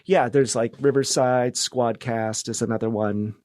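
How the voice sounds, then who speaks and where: A man in his forties speaks at a medium pitch in an easy, conversational style. He is attentive and understated, a podcast guest. The recording is dry and free of room echo. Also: steady tone, unhurried, a little mellow. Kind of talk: casual conversation.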